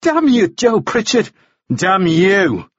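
Audiobook role: fiery, distraught young woman acted by a man, high-pitched, sharp retorts, slightly husky. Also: big pitch swings, moderate pace, lo-fi sound, exaggerated voice. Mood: distraught, defiant. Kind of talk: audiobook character acting.

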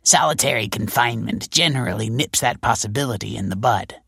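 A world-weary female detective speaks with dry, sardonic calm, voiced by a male audiobook narrator. The voice sits higher and brighter, with a gravelly, husky grain. The sound is close and dry. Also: fairly quick, no echo, full character voice. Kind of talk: audiobook character voice.

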